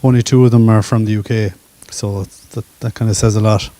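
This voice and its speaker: A man in his thirties talks about his studies at a university event. His voice is dark and mellow and stays fairly level, with many fillers along the way. The sound is dry and close. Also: fairly low pitch, brisk, no echo. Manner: relaxed, hesitant, many fillers. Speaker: current master's student. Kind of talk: panel testimonial.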